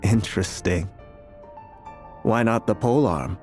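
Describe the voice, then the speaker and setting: A deep, solemn doom-laden prophet. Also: male voice, slow, darkish tone, faint music behind. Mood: knowing, probing. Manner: grave, oracular, formal. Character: solemn, fatalistic blinded male prophet. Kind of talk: dramatic fantasy video game acting.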